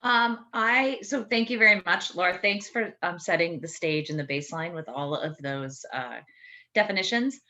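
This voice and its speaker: A woman's voice: on the low side, normal speed, sharp tone. A woman panelist on a video call, speaking in a chatty way and often correcting herself. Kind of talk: panel discussion. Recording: dull lo-fi audio.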